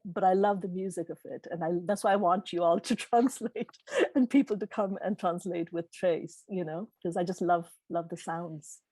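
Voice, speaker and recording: Mellow-voiced woman, Gujarati native speaker. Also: lowish voice, moderate pace, no room sound. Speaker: keynote speaker, literary publisher. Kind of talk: informal question-and-answer talk.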